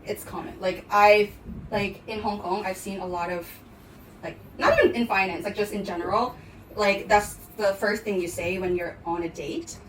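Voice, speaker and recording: A woman's voice: medium pitch, steady tone, moderate pace. Chatty woman in a roomy space. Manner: chatty, lots of filler "like". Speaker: podcast co-host. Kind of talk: casual chat. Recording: roomy, distant mic, faint noise.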